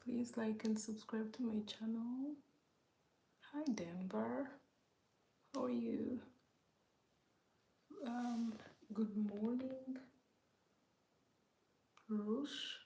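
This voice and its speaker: A woman from Uganda hosting a casual livestream, speaking softly and often near a whisper as she greets viewers by name and reads their comments. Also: on the high side, drawn-out words, vocal fry, pauses often, roomy sound, a little hiss or hum, low-quality recording. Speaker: livestream host.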